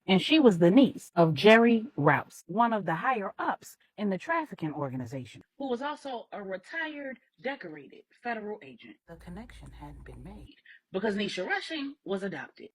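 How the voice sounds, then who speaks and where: A woman's voice: mid-range voice, sing-song, normal speed, darkish tone. A woman storyteller reading a scripted crime drama story as narrator.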